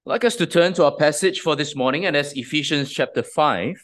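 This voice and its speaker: A man preaching a sermon in a measured, explanatory way, posing rhetorical questions to the congregation. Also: fairly high pitch, fairly bright tone. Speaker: pastor.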